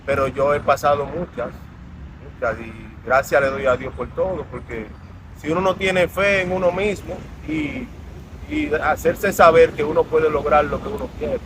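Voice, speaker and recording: A man's voice: on the high side, lively intonation, moderate pace. Earnest man telling his story. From Dominican Republic. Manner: earnest, reflective. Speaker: interview guest. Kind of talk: personal storytelling in an interview. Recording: outdoor traffic noise.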